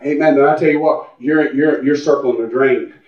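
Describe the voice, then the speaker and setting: A man of forty preaching a sermon as pastor, often rising into shouted, high-pitched cries. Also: fairly even intonation, moderate pace. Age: 40s. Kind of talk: Pentecostal-style sermon. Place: church sanctuary. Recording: roomy, distant, dull church recording.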